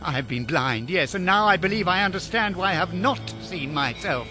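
A man playing an excitable, desperate old miser in a radio drama, with a theatrical, breathless, stammering delivery. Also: high voice, normal speed. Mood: fearful, hushed. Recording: music under studio radio drama.

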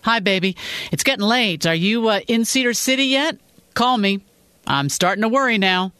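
A narrator voicing a worried, frustrated husband who talks bluntly. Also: male voice, sits high, lively intonation, normal speed, bright, forceful tone, clean tone, no echo, voice pushed up. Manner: anxious, then stern and blunt.

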